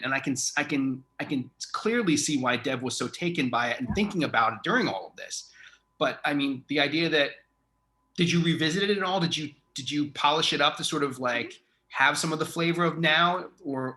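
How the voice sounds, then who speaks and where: A man's voice: on the high side, sharp tone. A man interviewing a guest in a casual chat, effusive and rambling as he thinks aloud. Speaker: interviewer, theatre artistic director.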